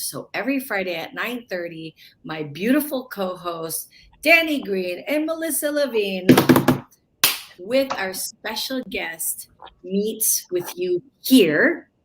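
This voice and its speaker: A woman's voice: medium pitch, a bit bright, a little hiss or hum, poor audio. A woman hosting a live online talk show, opening it with gushing, effusive introductions over a video call. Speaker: host.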